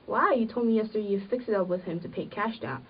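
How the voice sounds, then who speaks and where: Lady playing sickly bitter farm wife, always complaining, medium pitch, sounds muffled. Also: fast talker, slight background noise, lightly acted. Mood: reproachful. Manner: reproachful, rural old-fashioned phrasing. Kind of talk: amateur period drama acting. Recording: muffled audio, distant microphone.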